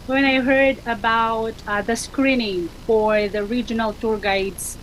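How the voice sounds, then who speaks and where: A woman with a Filipino accent being interviewed as a tour guide, answering in a measured way with many fillers. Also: a little high, fairly even intonation, slight background noise, rough recording.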